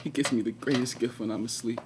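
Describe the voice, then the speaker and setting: An actor playing an anguished, regretful 21-year-old prisoner in a confessional stage monologue with dramatic pauses. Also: male voice, mid-range voice, fairly even intonation, background noise, natural voice. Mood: wistful. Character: anguished 21-year-old male prisoner, former track star, bitter and regretful.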